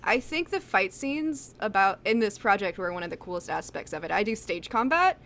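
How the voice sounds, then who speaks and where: A woman's voice: medium pitch, animated, fairly quick, a bit bright, slight background noise. A woman chatting casually as an interview guest, with an eager, slangy way of talking. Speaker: voice actor guest.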